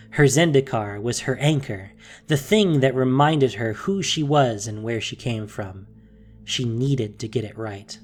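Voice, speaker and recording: A narrator reading a scripted fantasy story in a measured, dramatic way. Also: mid-range voice.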